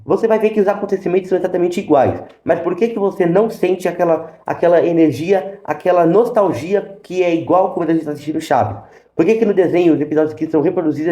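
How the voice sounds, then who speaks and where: A man's voice: fairly high pitch, dark tone. A Brazilian man hosting a casual review video, explaining his opinions directly to viewers. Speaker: YouTube host. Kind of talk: casual review commentary to camera. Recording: roomy, echoey sound.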